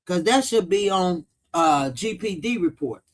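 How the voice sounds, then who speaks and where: A man's voice: sits high, expressive, measured pace. A man giving casual, blunt livestream commentary, swearing freely and repeating points for emphasis. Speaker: true-crime commentary livestream host.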